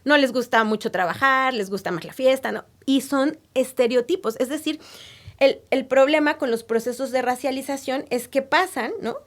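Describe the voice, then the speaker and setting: A woman's voice: high voice, normal speed. A woman researcher answering interview questions in a thoughtful, explanatory way, often checking in with tag questions. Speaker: guest researcher.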